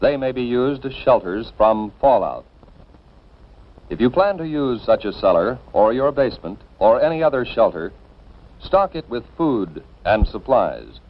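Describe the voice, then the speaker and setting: An American man reading scripted 1950s instructional film narration in a measured, formal, instructive manner. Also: medium pitch, sing-song. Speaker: narrator of a civil defense educational film. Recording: dull, band-limited 1950s film soundtrack.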